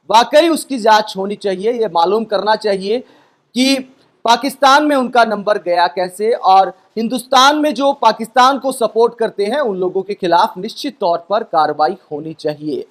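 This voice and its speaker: A man presenting critical news commentary, speaking emphatically with rhetorical questions and repeated phrasing. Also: high voice.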